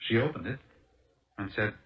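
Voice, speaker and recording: Actor as low-voiced impulsive American salesman, old radio. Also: animated, close to an everyday voice. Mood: rueful, eager. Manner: halting, earnest, restarts phrases. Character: impulsive, fast-talking car salesman protesting his innocence. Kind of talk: 1970s radio drama acting. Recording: dull lo-fi old radio broadcast.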